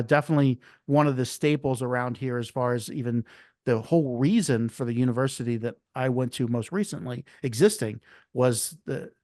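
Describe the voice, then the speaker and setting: A man hosting a podcast, talking in a relaxed, rambling way with restarts and fillers. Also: medium pitch, dry recording, clean studio sound. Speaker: podcast host. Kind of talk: casual interview chat.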